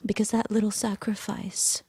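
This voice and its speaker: An actress playing a grieving young mother who narrates her escape in a quiet, regretful, reflective first-person voice. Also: medium pitch, flat delivery, normal speed, husky, no room sound. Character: grieving young mother fleeing with husband and daughter. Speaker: narrator and protagonist.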